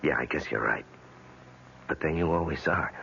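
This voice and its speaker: An actor playing a worried, hesitant husband who gently placates his wife in an old radio drama. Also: very deep voice, moderate pace, vocal fry, heavily acted. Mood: anxious, placating. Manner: hesitant, coaxing. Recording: muffled, noisy old radio broadcast.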